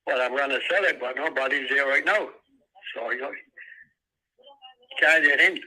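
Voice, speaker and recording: A man's voice: medium pitch, moderate pace, bright, forceful tone. A man from Barbados with a Barbadian accent, answering a phone call about selling a property in short, guarded replies. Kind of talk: casual phone conversation.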